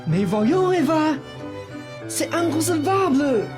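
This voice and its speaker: A woman's voice: high voice, sing-song, takes it slowly, music in the background, full character voice. A woman playing a pompous young male thief who poses as a noble suitor in a radio play. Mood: lovesick.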